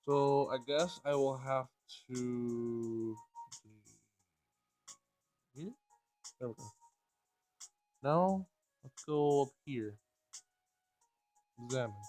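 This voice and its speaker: A man doing a casual game playthrough, reading dialogue aloud and thinking out loud in informal, slangy language. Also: mid-range voice, takes it slowly, long pauses, faint music behind. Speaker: let's play gamer.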